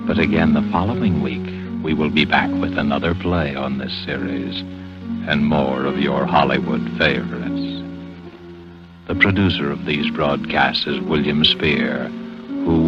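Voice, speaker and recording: A man giving a solemn, formal scripted sign-off as narrator of a 1940s radio show. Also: extremely low pitch. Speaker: announcer and narrator. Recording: muffled old radio broadcast recording. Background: loud orchestral music.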